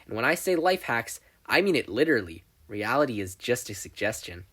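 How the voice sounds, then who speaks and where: A narrator acts out a teenage girl inventor for an audio fan story. She is brash, blunt and very sure of herself, with emphatic, swooping delivery and a slightly rough, husky edge. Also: mid-range voice, big pitch swings, a little fast, fairly bright tone. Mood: eager, blunt.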